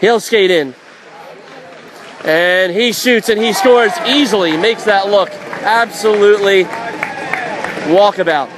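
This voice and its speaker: A man calling live ice hockey play-by-play for radio in an excitable, vivid, dramatic manner. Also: high voice, slow pace, long pauses. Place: indoor ice rink broadcast position. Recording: loud crowd and rink noise behind. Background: hockey crowd and rink ambience.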